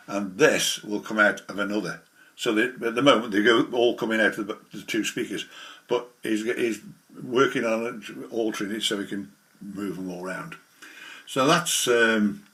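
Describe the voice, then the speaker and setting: A man giving a casual, rambling, halting software demonstration as a hobbyist. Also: fairly low pitch. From the English Midlands.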